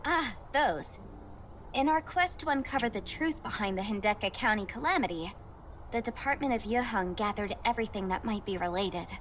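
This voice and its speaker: A woman's voice: mid-range voice, expressive, moderate pace, fairly bright tone, a little hiss or hum, close to an everyday voice. A woman playing a polite, studious researcher in a video game, explaining things formally and helpfully. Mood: measured. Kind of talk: scripted video game voice acting.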